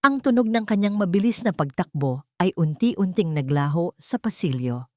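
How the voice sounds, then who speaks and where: A woman storyteller reads a dramatic audio tale in measured, solemn phrases. Her voice sits on the low side. The recording is dry, with no room sound. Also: expressive, warm tone, no room sound.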